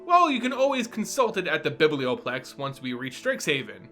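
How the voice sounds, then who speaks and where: A man voicing a composed, enigmatic woman mage in a storybook reading, calm, formal and matter-of-fact. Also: fairly high pitch, moderate pace, faint music behind, heavily acted. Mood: instructive. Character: composed, enigmatic older woman mage guiding young travelers.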